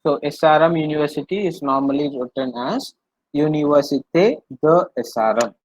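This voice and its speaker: A man's voice: on the high side, moderate pace, slight background noise, low-quality recording. A man with an Indian accent teaching a French lesson, translating line by line, repeating phrases and checking understanding with short questions.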